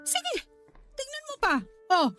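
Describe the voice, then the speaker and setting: A woman's voice: extremely high pitch, big pitch swings, slow, sharp tone, faint music behind, exaggerated voice. A woman acting a strict, nagging older sister in her thirties in a Filipino radio drama, scolding and impatient. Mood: challenging, exasperated.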